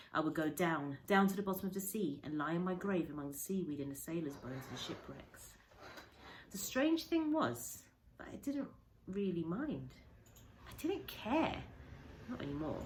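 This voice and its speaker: A woman teacher reading a children's story aloud, dramatic and emphatic, with deliberate pauses and shifts in volume. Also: deep voice, a little hiss or hum, low-quality recording. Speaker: primary school teacher reading to a class.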